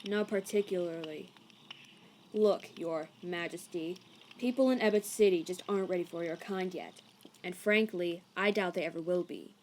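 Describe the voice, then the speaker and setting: A woman voicing a haughty, prejudiced male envoy in an animated comic dub, stiff, officious and condescending. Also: on the low side, moderate pace, a little hiss or hum, natural voice. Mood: dismissive.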